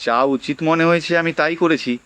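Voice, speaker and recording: A man's voice: on the high side, normal speed, fairly bright tone, clean tone, dry recording, lightly acted. A man acting a humble, grateful young delivery boy in an audio drama, speaking in an earnest, deferential and polite manner. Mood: shy, earnest. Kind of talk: audio horror story acting.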